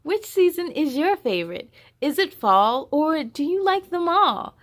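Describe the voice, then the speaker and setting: A woman's voice: high voice, big pitch swings, unhurried. A woman hosting a children's story time, reading aloud expressively with a sing-song delivery and chatty asides to young listeners.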